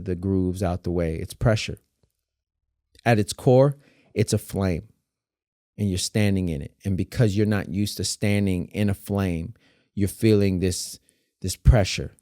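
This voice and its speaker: A man speaks as a podcast guest in a low, mellow voice. He talks in an animated, emphatic way and builds each point through vivid comparisons. The sound is dry and close to the mic. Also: a little slow, clean tone, no echo. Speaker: dance teacher and battle judge, guest. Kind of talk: casual conversational interview.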